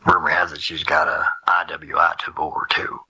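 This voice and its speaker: A man playing a gruff, plain-spoken older military man with a raspy, gravelly voice in an audio drama. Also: very deep voice, flat delivery, brisk, pushed and bright, exaggerated voice. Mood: gruff, assured. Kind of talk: audio drama acting, outtakes.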